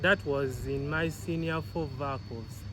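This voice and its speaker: A man's voice: a little high, measured pace. A man in his thirties from Uganda, a reggae artist answering interview questions and laughing often. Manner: laughs often, animated, repeats himself. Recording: outdoor street noise.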